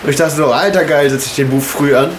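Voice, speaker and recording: Ein junger Mann erzählt locker und umgangssprachlich als Kajakfahrer direkt in die Kamera. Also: male voice, on the high side, fast. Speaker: kayaker recounting the day. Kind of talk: casual storytelling to camera, slangy. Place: indoors in an unheated house. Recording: echoey room, noticeable background noise.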